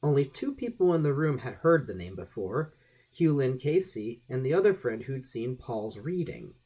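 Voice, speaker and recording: Man narrating with lively, swooping pitch. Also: moderate pace, husky. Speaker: audiobook reader. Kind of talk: scripted narration of a vintage magazine article.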